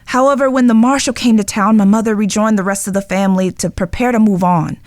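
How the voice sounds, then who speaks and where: A woman playing a guilt-ridden, lovestruck young outlaw spy in an audio drama, speaking earnestly and emotionally with pauses. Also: mid-range voice, steady tone, no room sound, close to an everyday voice. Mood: confessional, wary.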